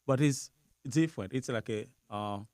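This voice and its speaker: A man from Haiti with a Haitian accent, a priest whose native language is Creole, answering interview questions haltingly with small laughs. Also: medium pitch, darkish tone, dry recording, studio quality. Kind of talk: interview conversation.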